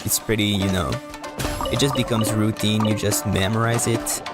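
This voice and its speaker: A man casually commentating a live game stream, explaining things in a hesitant, halting, rambling way. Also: on the low side, steady tone. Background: music.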